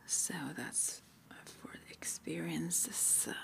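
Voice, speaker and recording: A woman whispering in an ASMR roleplay as an interviewer, breathy and halting, with gentle questions. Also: lowish voice, level tone. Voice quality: breathy, mostly unvoiced whisper. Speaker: ASMR roleplay performer as witch school interviewer.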